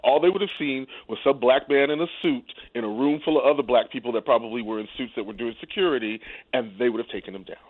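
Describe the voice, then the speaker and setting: A man's voice: medium pitch, fast talker, no room sound. A man giving casual political commentary as a radio guest, talking in a wry, colloquial way. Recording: dry, phone-like narrow sound.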